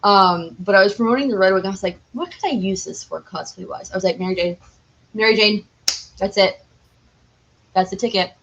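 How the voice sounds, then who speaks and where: A woman's voice: mid-range voice, a little fast, gravelly, a bit bright, highs cut off, slight background noise. A young woman chatting casually and enthusiastically as a podcast guest, rambling and saying "like" often. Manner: enthusiastic, rambling, heavy use of "like".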